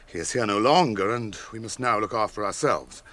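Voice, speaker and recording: An actor playing a grave, watchful ranger guide in a radio drama, speaking in measured British English like a storyteller. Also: male voice, fairly low pitch, fairly bright tone, close to an everyday voice.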